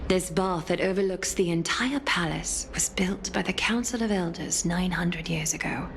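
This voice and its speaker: Cold demigod lady for a fantasy game, played by a woman: lower register, dignified, formal, coolly scornful, noisy backdrop. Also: steady tone, natural voice. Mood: solemn, cold. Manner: measured, formal, coolly disdainful. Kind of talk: dramatic video game voice acting.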